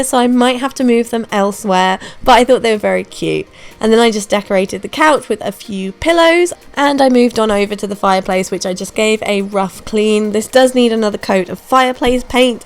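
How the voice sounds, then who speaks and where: Young woman, hoarse and gravelly, slightly high, chatty DIY voiceover. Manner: chatty, relaxed, self-deprecating. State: hoarse from a throat infection. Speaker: home renovation vlogger. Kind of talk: casual voiceover narration. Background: soft background music.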